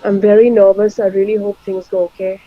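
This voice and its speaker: A jittery migrant mother played by a woman speaks in quick, anxious bursts. The recording is dull and lo-fi, like a stage captured from a basic device. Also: mid-range voice, level tone, slight background noise, close to an everyday voice. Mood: nervous. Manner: anxious, hurried. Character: nervous female migrant mother in a queue. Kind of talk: amateur stage play acting.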